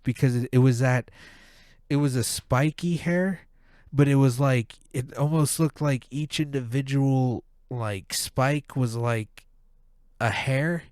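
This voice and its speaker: A man's voice: medium pitch, darkish tone, clean tone, dry recording, studio quality. A man with a stuffed-up nose, hosting a podcast and ranting with heavy profanity in a casual monologue. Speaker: podcast host.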